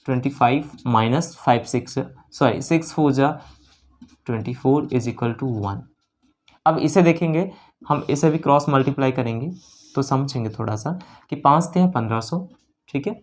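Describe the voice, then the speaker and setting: A man's voice: medium pitch, darkish tone. A man with an Indian accent teaching a lesson, explaining worked problems step by step, often repeating key phrases and checking in with learners. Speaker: maths teacher for exam preparation.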